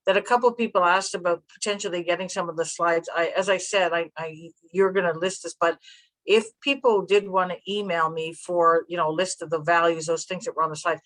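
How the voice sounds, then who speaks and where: A mature woman with a slightly low voice speaks as a guest presenter. Her style is informal, somewhere between a talk and a chat. She comes across as obliging and modest about herself. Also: steady tone, a little fast, fairly bright tone, low-quality recording. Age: middle-aged or older, a grandmother.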